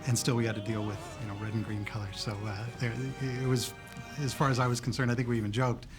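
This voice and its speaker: A man talks casually in a documentary interview as an animation creator. His pitch is middling, and music is clearly audible behind him. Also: level tone, quick pace.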